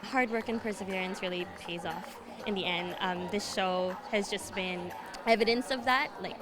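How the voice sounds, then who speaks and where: A woman's voice: medium pitch, normal speed. A young woman from Dubai, a fashion design graduate, talking about her work in an earnest, slightly hesitant way. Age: young adult. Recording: crowd chatter behind.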